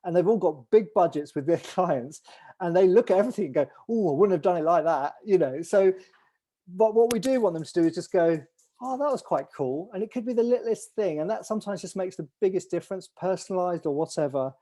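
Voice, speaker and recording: A man's voice: high-pitched, animated, brisk, warm tone. A man hosting an online call, briefing on a competition in a rambling way, with restarts and fillers. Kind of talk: casual briefing on a video call.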